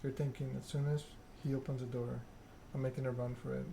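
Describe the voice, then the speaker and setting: A man voicing a curt, coldly controlled vampire enforcer from a story game, speaking in a measured, threatening manner. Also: mid-range voice, flat delivery, warm tone, lightly acted. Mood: cold warning. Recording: clear background noise.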